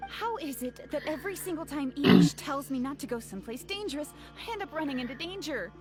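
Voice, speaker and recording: A woman voicing a friendly, expressive young woman in a video game, worried about her friends. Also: sits high, fast. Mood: musing. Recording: game audio under clear music.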